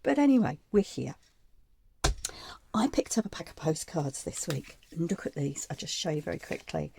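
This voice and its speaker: A woman hosting a casual craft tutorial, chatty and meandering, her voice croaky from a chest cold. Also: low voice, big pitch swings, normal speed, warm tone, slight background noise. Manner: chatty and meandering, with frequent ums and asides. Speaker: craft channel host.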